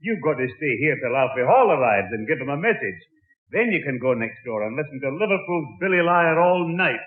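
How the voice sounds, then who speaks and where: A man's voice: fairly high pitch, very animated, brisk. A man playing a fussy, bossy old British grandfather in a 1960s radio sitcom, scolding and blustering. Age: older. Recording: tinny old radio broadcast recording.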